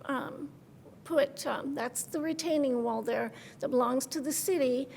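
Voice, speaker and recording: Folksy older woman with a gravelly high voice. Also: takes it slowly, darkish tone, big-room sound, a little hiss or hum. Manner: folksy and rambling, with homey terms like hon and love. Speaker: public commenter. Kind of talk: nervous testimony at a public meeting. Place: city council chamber. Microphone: podium microphone.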